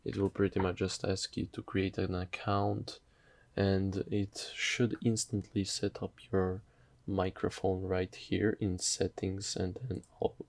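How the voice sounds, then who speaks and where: A man narrating a casual software tutorial in a hesitant, halting, off-the-cuff way. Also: deep voice, level tone, gravelly.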